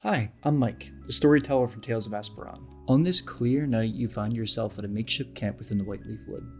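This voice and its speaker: A man's voice: medium pitch, a little fast, soft background music. A man delivering scripted narration and promotion as a podcast storyteller.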